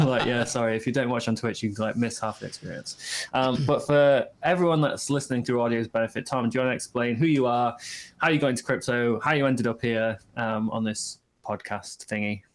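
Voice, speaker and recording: A man's voice: mid-range voice, a little fast. A man co-hosting a podcast who talks casually and teases his guest in a playful way. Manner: teasing, playful. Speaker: podcast co-host. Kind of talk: casual chat.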